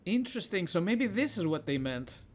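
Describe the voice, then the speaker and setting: A man's voice: high voice, sing-song, normal speed. A man giving casual let's play commentary, thinking aloud informally as he works through a game. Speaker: gamer playing a puzzle game.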